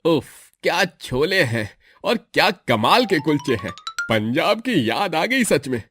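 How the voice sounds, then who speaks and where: A man's voice: on the high side, big pitch swings, takes it slowly, fairly bright tone, soft background music, full character voice. A man voicing an enthusiastic, food-loving customer in a story, with an effusive manner. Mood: delighted.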